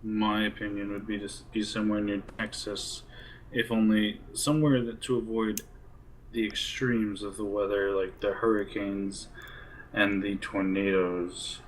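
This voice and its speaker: A man playing in a group role play game, talking through decisions in a hesitant, measured way. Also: on the low side, little pitch movement, a little hiss or hum, low-quality recording. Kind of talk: in-character group game discussion.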